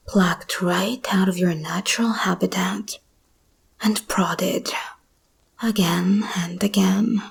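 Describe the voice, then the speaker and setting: A woman voicing a seductive, menacing female monster hybrid in an ASMR roleplay, speaking in a breathy whisper with slow, deliberate, teasing delivery. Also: medium pitch, steady tone, heavily acted. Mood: bitter, menacing.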